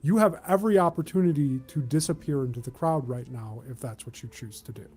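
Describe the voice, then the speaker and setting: A man acting as game master, improvising tabletop narration in a measured, descriptive way with mid-sentence pauses. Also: medium pitch, mellow, slightly rough.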